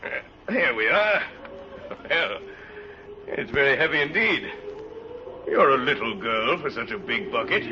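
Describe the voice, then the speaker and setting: A man acting a kindly, gentle poor traveller in an old radio drama, hesitant and fatherly. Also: medium pitch, expressive, a little slow, long pauses, close to an everyday voice. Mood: kindly, concerned. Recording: dull, faintly noisy old radio recording.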